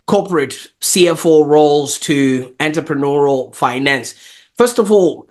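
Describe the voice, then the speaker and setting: A man hosting a podcast, introducing his guest in an upbeat, chatty way with frequent asides. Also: on the high side. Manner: upbeat and chatty, with light laughs. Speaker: podcast host.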